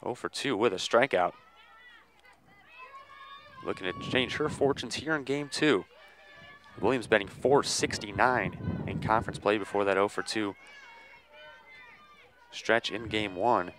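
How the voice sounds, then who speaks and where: A man calling a softball game live on the radio, measured between plays and ready to burst into excited calls. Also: on the low side. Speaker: play-by-play sports commentator.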